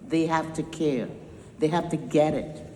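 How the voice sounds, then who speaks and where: A woman's voice: low-pitched, steady tone, a little slow, dark tone, slight background noise. A woman from Trinidad with a Trinidadian accent, founder of a nonprofit giving an emphatic, rousing speech with wry humor. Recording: echoey hall with long reverb.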